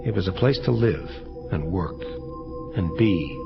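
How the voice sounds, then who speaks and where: A man's voice: low-pitched, lively intonation, unhurried, creaky, dull audio, loud music behind the voice, full character voice. An actor playing a world-weary male angel detective who narrates his case in hardboiled audio drama style. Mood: reflective.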